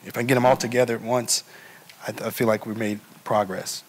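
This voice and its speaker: A man speaking as a health care provider on a panel, answering thoughtfully with many pauses and fillers. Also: medium pitch, steady tone, a little hiss or hum. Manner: thoughtful, hesitant, many ums. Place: public meeting room.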